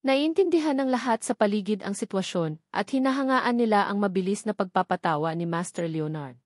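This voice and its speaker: A woman's voice: mid-range voice, animated, a little fast, no echo, clean studio sound. A woman reading a novel aloud as scripted narration. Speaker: audiobook narrator.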